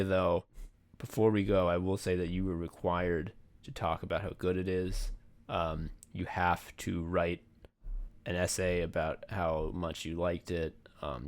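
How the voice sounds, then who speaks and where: A man's voice: low-pitched, creaky. A man co-hosting a podcast in a casual, chatty style, swearing freely. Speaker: podcast co-host. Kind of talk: casual behind-the-scenes podcast chat.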